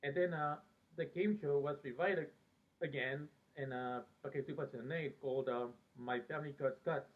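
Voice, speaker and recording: A man from Peru who later lived in America, talking casually and reminiscing in a very hesitant, halting way with frequent pauses mid-sentence. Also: fairly high pitch, fairly even intonation. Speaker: fan vlogger. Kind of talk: casual rambling reminiscence about a TV show. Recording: thin phone-like band-limited sound.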